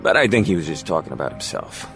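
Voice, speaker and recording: An actor playing a jaded, grumbling police officer in an audio drama, weary and sarcastic. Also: male voice, extremely low pitch, big pitch swings, quick pace, a bit bright, soft background music, exaggerated voice. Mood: exasperated.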